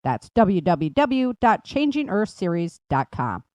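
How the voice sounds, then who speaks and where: A woman's voice: fairly low pitch, big pitch swings, unhurried, a little mellow, no echo, muffled recording. A woman host reading scripted sponsor messages and promos for her own series.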